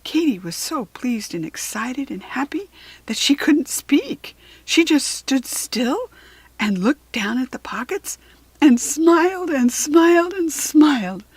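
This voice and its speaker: A woman reading a children's story aloud with expressive, deliberate phrasing and dramatic emphasis. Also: high-pitched, no echo.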